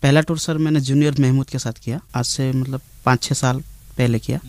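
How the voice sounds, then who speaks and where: A man, a singer, giving plain, halting conversational answers in an interview. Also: mid-range voice, steady tone, darkish tone, no echo.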